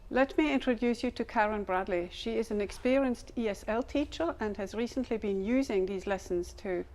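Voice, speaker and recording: German-accented woman, measured explanations. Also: fairly high pitch, a little mellow. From Switzerland. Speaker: materials developer. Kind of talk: scripted interview answers.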